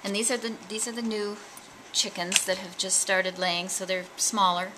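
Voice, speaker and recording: A woman's voice: mid-range voice, fairly even intonation, moderate pace. A middle-aged woman who runs a farm stand, explaining her eggs to a customer in a friendly way. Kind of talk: casual chat. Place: small indoor farm store. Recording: distant, echoey, noisy room.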